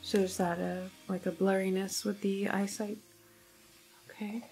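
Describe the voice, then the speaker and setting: A woman playing a calm, reassuring government doctor in an ASMR medical roleplay, soft-spoken and partly whispering, with a hesitant, clinical manner. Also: medium pitch, a little slow, creaky, faint music behind, low-quality recording. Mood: gently questioning.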